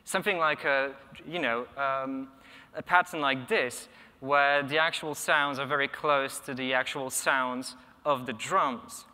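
Young male speaker, Polish native, bright voice, lectern mic, long echo of a big hall, hesitant and thoughtful. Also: medium pitch, fairly even intonation, a little slow, smooth. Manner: thoughtful, many ums and restarts. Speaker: conference speaker. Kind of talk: technical conference talk. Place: large conference hall, at a lectern. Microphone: lectern microphone.

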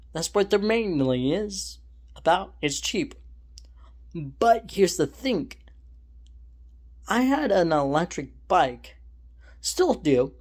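Slow, rambling young man on his phone. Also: fairly high pitch, darkish tone. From California, USA. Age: young adult. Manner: rambling, self-correcting, opinionated. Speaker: vlogger. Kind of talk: casual opinion monologue. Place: indoors, small room at home. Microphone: phone held at arm's length, selfie framing.

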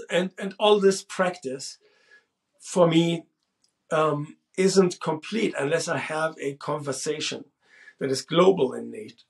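A man's voice: on the high side, creaky. A man in his forties, the host, talking thoughtfully to camera from a small room, often restarting his sentences. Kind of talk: casual monologue to camera.

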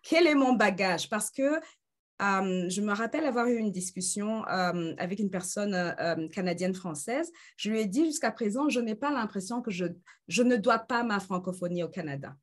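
A woman's voice: medium pitch, lively intonation, moderate pace. A woman in her thirties moderating an online conference discussion, hesitating often with fillers, speaking into a webcam on a video call. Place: indoors in front of a green screen.

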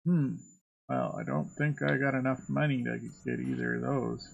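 A man streaming a game, nursing a cold, musing slowly as he plays. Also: medium pitch, fairly even intonation, creaky, highs cut off, slight background noise. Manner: slow, musing, drawn-out remarks. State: has a cold. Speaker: gaming streamer. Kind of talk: let's play commentary.

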